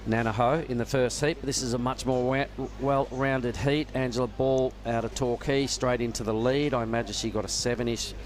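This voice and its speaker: A man giving relaxed, knowledgeable live surf contest commentary from a judging tower. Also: medium pitch, a little fast, few pauses. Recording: noisy outdoor ambience with traffic.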